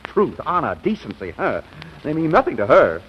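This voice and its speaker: A man's voice: a little high, wildly swooping pitch, moderate pace. A man playing a hot-tempered, exasperated businessman in a 1940s radio comedy, speaking with indignant emphasis. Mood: aggrieved, ranting. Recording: muffled, hissy old radio recording.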